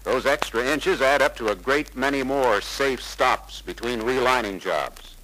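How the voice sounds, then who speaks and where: A man's voice: mid-range voice, measured pace, pushed and bright. A man playing a polite, eager young truck salesman in a 1950s sales film, earnest and persuasive. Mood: friendly, confident. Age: young adult. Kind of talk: 1950s sales pitch acting. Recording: noisy 1950s film soundtrack.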